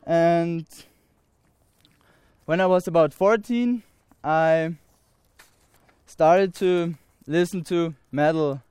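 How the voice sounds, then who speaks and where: Slow, earnest young Austrian man. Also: on the high side, a little mellow, clear, resonant tone, dry recording. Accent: Austrian German. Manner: halting and earnest, many pauses and restarts. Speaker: speaker giving a testimony. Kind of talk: personal faith testimony to an audience.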